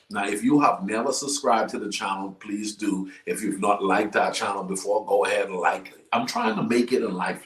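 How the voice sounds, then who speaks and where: The folksy host of a homegrown motivational web show, a man calling out emphatically to viewers from a room that echoes around him. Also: lowish voice. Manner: folksy, emphatic, repeats phrases for effect. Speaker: talk show host, life coach. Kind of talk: informal interview, exuberant show opening. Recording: roomy, echoey sound.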